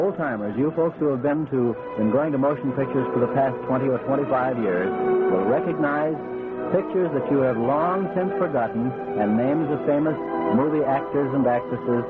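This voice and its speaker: Loud orchestral music plays behind a male announcer on a very narrow 1940s radio recording. His voice is medium-pitched, and he stalls as he ad-libs live commentary. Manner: stalling, filling time.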